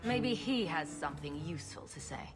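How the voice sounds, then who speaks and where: A woman's voice: sits low, lively intonation, moderate pace. An actress playing a confident, sardonic female adventurer in a video game, dry and playful, with music behind. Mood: wry, probing. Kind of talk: video game dialogue acting.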